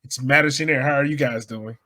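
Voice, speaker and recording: A man's voice: medium pitch, steady tone, a little fast, pushed and bright. A man hosting a sports podcast in a casual, conversational style.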